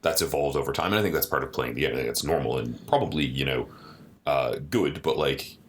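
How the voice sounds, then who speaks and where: A man with a deep voice co-hosting a podcast in a studio, talking earnestly in casual chat and often adding "you know" and "right?". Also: quick pace, slight background noise. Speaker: podcast co-host.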